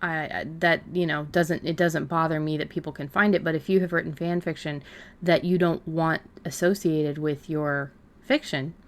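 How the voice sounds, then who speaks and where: A woman's voice: low voice, steady tone, moderate pace. A woman co-hosting a podcast, talking in an informal, loosely rambling way. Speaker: podcast co-host and fiction writer. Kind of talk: casual podcast chat.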